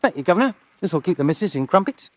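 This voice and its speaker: Cheeky high-voiced milkman. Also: big pitch swings, fast, a little mellow, no echo. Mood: cheery. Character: cheery, cheeky working-class milkman. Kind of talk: old-fashioned radio drama acting.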